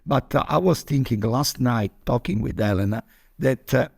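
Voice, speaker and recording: A man's voice: mid-range voice, moderate pace, gravelly, a little mellow, husky, dry recording, clean studio sound. A man answering questions as a founder on a conference call, thinking aloud tentatively. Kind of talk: informal explanatory Q&A on a conference call.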